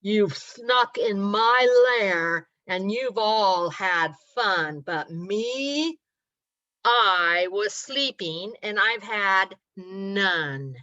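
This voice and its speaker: A woman's voice: mid-range voice, lively intonation, very slow, bright, forceful tone, dull audio, full character voice. A woman voicing a big, cranky male bear in a storybook, grumbling and feeling sorry for himself. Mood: sulky complaint. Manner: grumbling, sulky. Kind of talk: picture-book read-aloud for children.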